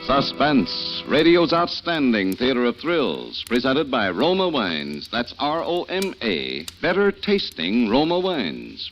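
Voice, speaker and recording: A man announcing a radio show and reading a sales pitch in a bright 1940s announcer manner. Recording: dull lo-fi 1940s radio with hiss.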